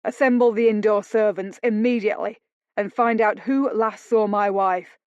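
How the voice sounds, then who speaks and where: A woman's voice: medium pitch, steady tone, normal speed, darkish tone, clean tone, dry recording, lightly acted. A woman doing a raging nobleman barking orders. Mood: furious. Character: angry commanding nobleman, a lord. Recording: dull lo-fi audio.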